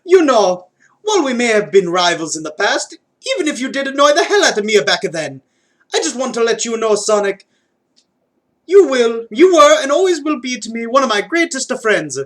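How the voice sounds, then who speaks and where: A man voicing an excitable, warm-hearted video-game plumber with a put-on Italian accent and exaggerated, drawn-out emphasis in a fan fiction reading. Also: very high-pitched, voice pushed up. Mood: earnest, affectionate.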